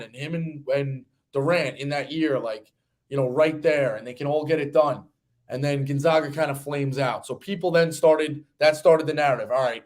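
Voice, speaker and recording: Man in his thirties, slightly high voice, casual sports talk host, rambling delivery. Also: darkish tone. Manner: rambling, conversational, occasional swearing. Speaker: sports betting show host.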